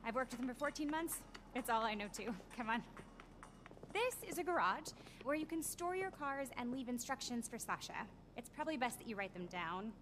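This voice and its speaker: An actress playing a frazzled, resentful young production assistant with an awkward, sarcastic way of talking in a video game. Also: female voice, a little high, expressive, a little fast, background noise, lightly acted. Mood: awkward explaining.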